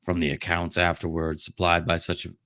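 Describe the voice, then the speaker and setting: A man's voice: low voice, monotone, brisk, pushed and bright, no room sound, clean studio sound. A man hosting a comedic dramatic reading of a storybook, in a jokey manner with asides. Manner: jokey, breaking off for ad-libs and laughter. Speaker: host doing a dramatic reading.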